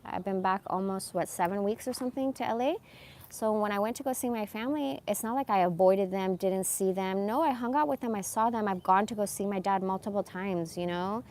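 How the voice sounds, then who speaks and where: A woman's voice: mid-range voice, brisk, a little mellow. A woman in her forties rambling casually about personal things in a candid, emphatic, slangy way. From born in Iran, moved to America as a young child. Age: 40s. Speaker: live streamer.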